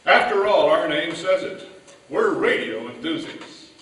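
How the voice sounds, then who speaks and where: A man announces in a scripted, old-time radio style from a live stage. His voice sits fairly high. It carries through an echoey hall, heard some way from the mic with faint noise. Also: animated, measured pace, fairly bright tone, far from the mic.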